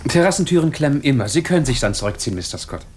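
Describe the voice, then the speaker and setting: An actor in a German radio drama playing a wealthy master of the house, wry, evasive and given to flowery irony. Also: male voice, mid-range voice, sing-song, very fast, darkish tone, slight background noise, lightly acted. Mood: urbane, flowery. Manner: wry, ironic, grandiloquent.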